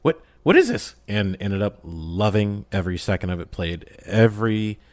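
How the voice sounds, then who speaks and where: A man's voice: fairly low pitch, sing-song, moderate pace, a little mellow, no room sound. A man chatting casually as a podcast guest and voice actor, playful and joking with theatrical asides. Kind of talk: casual chat.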